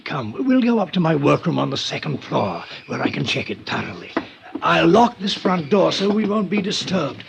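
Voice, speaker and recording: A fussy, indignant violin maker. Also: male voice, a little high, very animated, moderate pace, creaky, exaggerated voice. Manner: indignant, precious, stammering. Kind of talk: 1950s radio drama acting. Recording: noisy old radio transcription.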